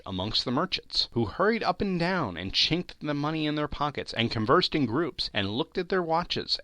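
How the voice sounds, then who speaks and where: A man narrating a classic story as a dramatic audiobook, with theatrical, deliberate phrasing and emphatic lists. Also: mid-range voice, sharp tone, no room sound. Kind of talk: dramatic scripted literary narration.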